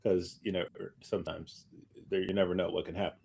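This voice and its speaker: Animated, rambling man chatting casually. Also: sits low, a little fast, gravelly, slightly rough. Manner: animated, rambling, stumbling over repeated starts. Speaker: podcast co-host. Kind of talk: casual chat, recounting anecdotes.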